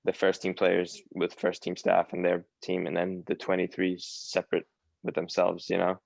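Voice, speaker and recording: A man's voice: low-pitched, monotone, normal speed, gravelly, a little mellow, no room sound. A young man from New York with a Long Island accent, a guest in a casual interview who talks hesitantly with many fillers and restarts. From New York, USA. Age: young adult. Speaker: guest, academy footballer.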